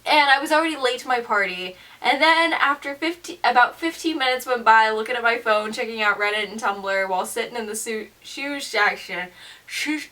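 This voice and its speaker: High, bright-voiced teenage girl telling a story. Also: normal speed, far from the mic. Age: teens, seventeen. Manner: animated, emphatic, slangy, with mimicked asides. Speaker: vlogger. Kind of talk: casual storytelling to camera.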